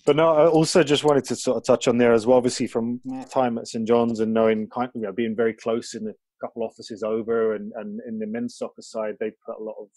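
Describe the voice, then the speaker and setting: A man's voice: medium pitch, flat delivery, brisk, few pauses, a little mellow, dry recording. A man co-hosting a podcast, joking and rambling with filler phrases. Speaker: podcast co-host. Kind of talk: casual podcast interview.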